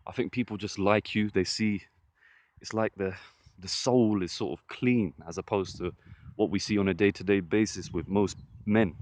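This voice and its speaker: A man with a fairly deep voice talking to the camera in a casual vlog, earnest and encouraging, often saying "listen" and "trust me". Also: steady tone, normal speed, a little mellow. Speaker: vlogger. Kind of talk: casual motivational vlog monologue.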